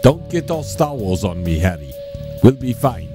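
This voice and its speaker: A self-important space captain, voiced by a man, brisk and commanding. It is a comic sci-fi audio drama, with clear music behind the voice. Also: medium pitch, big pitch swings, lightly acted.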